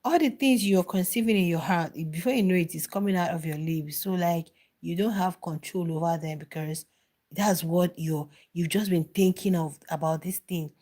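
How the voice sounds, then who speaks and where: A woman's voice: lowish voice, normal speed, mellow. A middle-aged woman talking casually on a live stream about a reality show, answering viewers' comments and repeating phrases for emphasis.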